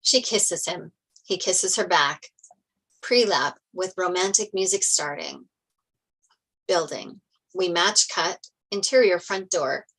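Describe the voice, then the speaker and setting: Lowish-voiced woman narrating a script. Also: animated, slow, fairly bright tone, slightly rough, rough recording. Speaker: narrator reading stage directions. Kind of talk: scripted narration, table read.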